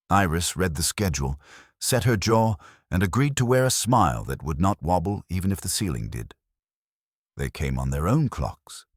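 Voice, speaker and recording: A man narrating a scripted first-person detective story in a dry, wry, measured way. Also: sits low, no room sound. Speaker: audiobook narrator.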